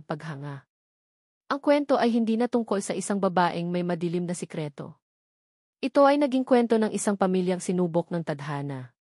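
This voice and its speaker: A woman narrator with a Filipino accent tells a scripted love story. Her voice sits at a medium pitch, and the recording is close and dry, with hardly any room sound. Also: darkish tone, dry recording. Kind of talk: scripted narration of a romance audio drama.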